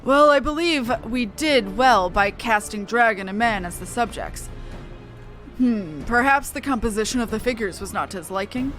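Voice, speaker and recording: Woman playing a loud, pompous master crafter. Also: a little high, animated, normal speed, soft background music. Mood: pondering. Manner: florid, emphatic, theatrical. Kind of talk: reading game dialogue aloud in character.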